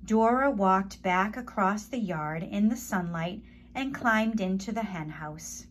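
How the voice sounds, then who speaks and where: Slow, expressive woman reading a children's story. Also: mid-range voice, slight background noise. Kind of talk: children's story read-aloud.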